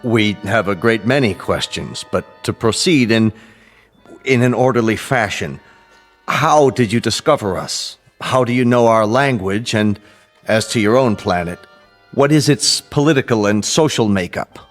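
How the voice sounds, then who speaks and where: An actor playing a flustered senior diplomat in a radio drama, giving formal, halting public addresses. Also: male voice, on the low side, lively intonation, soft background music. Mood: formal, anxious.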